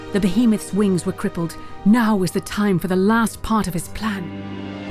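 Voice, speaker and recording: A woman's voice: mid-range voice, close to an everyday voice. A woman playing the storyteller of a fantasy audio drama, narrating a heroic tale in a grave, dramatic scripted style. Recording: music bed under the voice. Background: prominent dramatic music.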